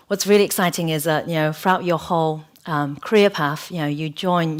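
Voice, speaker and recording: Low-voiced woman with an English accent. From Hong Kong, raised in England. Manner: frequent fillers and restarts, personal storytelling. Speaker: guest speaker, chief creative officer. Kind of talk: conversational lecture. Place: large lecture hall, at a podium. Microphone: podium microphone.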